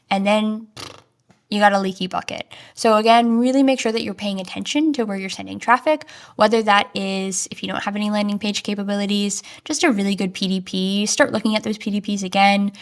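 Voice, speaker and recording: Upbeat, chatty woman podcast host. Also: medium pitch. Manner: casual, with frequent "like" fillers. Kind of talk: solo marketing podcast talk.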